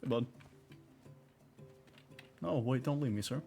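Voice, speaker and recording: A woman's voice: extremely low pitch, takes it slowly, gravelly, dark tone, soft background music. A woman giving casual, offhand, wry commentary as she streams a game.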